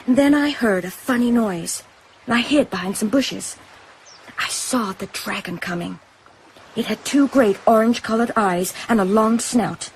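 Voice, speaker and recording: A wary young island woman played by an actress in a radio drama, with a fairly high voice. She is blunt, unsophisticated and quick to challenge. There is faint noise behind her. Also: natural voice, poor audio. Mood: haunted, intense. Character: wary, defiant young island woman who collects shells.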